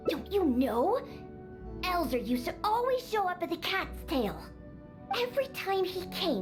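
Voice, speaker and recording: A woman's voice: very high voice, exaggerated voice. A woman voicing a grumpy, bossy young cat-girl bartender in an anime game, curt and indignant.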